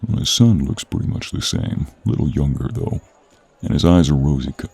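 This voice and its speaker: A man playing a gruff, wry, honourable monster hunter in an audio roleplay, with a gravelly, husky voice and informal manner. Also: rumbling low, lively intonation, moderate pace, darkish tone, no echo, exaggerated voice. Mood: matter-of-fact. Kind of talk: audio roleplay acting, speaking to the listener.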